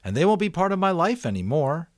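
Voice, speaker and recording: A man's voice: fairly high pitch, sing-song, fast talker, dry recording, clean studio sound, voice pushed up. A man voicing an earnest, curious young boy in an audiobook, talking eagerly and questioningly as he reasons aloud. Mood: earnest, reasoning. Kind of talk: audiobook character voice.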